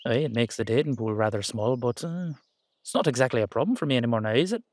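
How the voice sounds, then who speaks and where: A man's voice: mid-range voice, lively intonation, fast, darkish tone, slight background noise, natural voice. A man playing an exasperated but affectionate Irish dullahan rider, chatting coaxingly and teasingly to his horse in a roleplay audio drama. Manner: conversational, coaxing, teasing, slangy.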